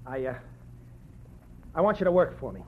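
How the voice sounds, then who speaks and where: A man's voice: on the high side, sing-song, normal speed, very noisy. An actor playing an earnest, anxious young man from a small town who pleads for help, in 1940s radio acting. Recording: tinny old radio broadcast.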